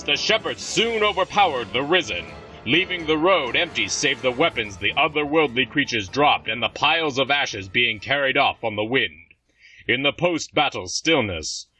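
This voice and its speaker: A man narrates a fantasy story in a dramatic, scripted style. His bright voice moves widely in pitch and sits a little distant from the microphone, over faint music. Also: lively intonation, normal speed, breathy edge.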